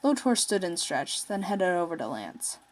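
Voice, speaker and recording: A woman's voice: on the low side, big pitch swings, moderate pace. Woman reading a story aloud. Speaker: story narrator, fan fiction writer.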